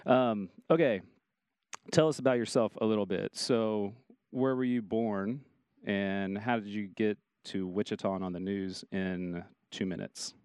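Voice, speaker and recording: A host from Ohio asking questions in a relaxed, casual interview with brief affirmations. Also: female voice, a bass voice, unhurried, smooth, no room sound.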